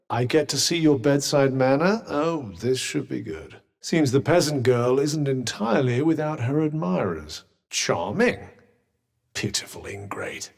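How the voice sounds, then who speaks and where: A man's voice: mid-range voice, vocal fry, husky, heavily acted. A man with a British accent voicing a haughty, sardonic talking magical object in a video game, with a dry, condescending and theatrical manner. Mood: mocking. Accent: British English. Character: sardonic, haughty, well-spoken talking magical cuff, male. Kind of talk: video game character dialogue.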